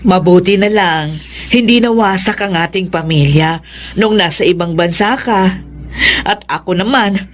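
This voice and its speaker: An actress with a Filipino accent playing a loving, contented middle-aged wife in a radio drama. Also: female voice, on the low side, measured pace. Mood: affectionate.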